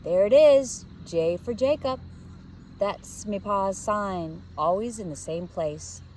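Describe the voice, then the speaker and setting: A woman's voice: mid-range voice, slow pace, warm tone, close to an everyday voice. Woman playing a cheeky whispering street boy, noisy recording. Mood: proud. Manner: whispering, slangy street dialect. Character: cheeky working-class Victorian boy, bossy and proud.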